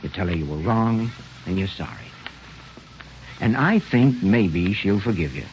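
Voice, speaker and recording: A male actor playing an awkward, tender middle-aged American father in a halting 1940s radio drama style. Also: low-pitched, animated, a little hiss or hum. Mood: earnest advice. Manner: halting, hemming and throat-clearing. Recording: muffled vintage radio with music behind.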